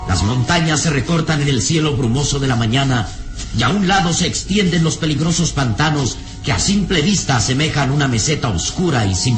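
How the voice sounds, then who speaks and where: A man narrating a radio drama in a solemn, literary, suspenseful manner. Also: mid-range voice.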